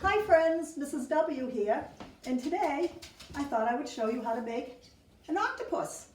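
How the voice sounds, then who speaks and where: A woman's voice: high-pitched, big pitch swings, a little slow. A woman teacher giving simple step-by-step craft instructions to young children. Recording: roomy, distant mic, faint noise.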